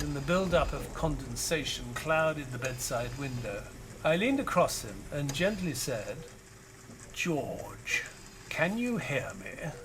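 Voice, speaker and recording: An actor playing an elderly retired doctor and author in an audio drama, narrating in a measured, solemn, wistful way. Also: male voice, on the high side, noisy background. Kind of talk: dramatic first-person scripted narration.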